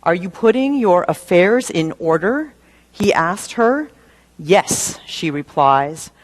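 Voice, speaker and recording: Female minister, sermon delivery, very unhurried and deliberate, pausing for effect. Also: on the low side, big pitch swings, low-quality recording. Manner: deliberate, with dramatic pauses.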